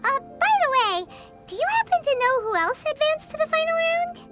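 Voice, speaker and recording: A woman's voice: big pitch swings, moderate pace. A woman voicing an eager, tiny female fairy companion who talks about herself by name. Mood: curious. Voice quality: very high, squeaky, bouncy. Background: game music.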